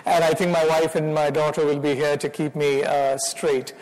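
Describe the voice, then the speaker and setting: A middle-aged man with an Indian accent addresses a congregation, pausing often with fillers as he feels his way into the talk. His voice sits a little higher than most men's, and it rings out with a long hall reverb. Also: level tone, a little mellow. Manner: halting, modest, with many fillers. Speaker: guest speaker. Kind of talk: church talk.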